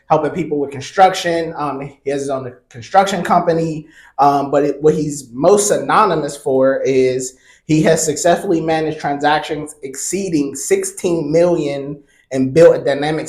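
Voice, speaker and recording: A man hosting a podcast, relaxed and talkative, with plenty of ums and restarts. His voice sits a little high for a man. The sound bounces around an echoey room. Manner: relaxed, chatty, many ums and repeated words. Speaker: podcast host. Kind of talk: casual podcast interview.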